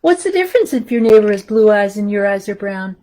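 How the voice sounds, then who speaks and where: A woman's voice: medium pitch, very animated, brisk, a little mellow, a little hiss or hum. A woman hosting a story time for young children, reading aloud and chatting casually in simple, encouraging language.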